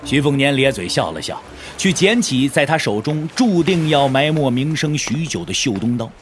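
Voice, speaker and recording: A man's voice: medium pitch, very animated, normal speed, soft background music. A man narrating a martial arts audio drama in a dramatic, scripted storytelling style.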